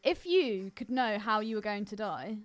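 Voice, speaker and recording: A woman's voice: medium pitch, lively intonation, normal speed, no room sound, faint music behind. A woman co-hosting a podcast with teasing, sweary, casual banter. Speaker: podcast co-host.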